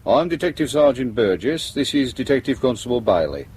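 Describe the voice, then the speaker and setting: An actor playing a matter-of-fact British detective sergeant in a radio drama, questioning in a dry, measured way. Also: male voice, medium pitch, big pitch swings, a little mellow, slightly rough. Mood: businesslike probing. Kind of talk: naturalistic radio drama acting.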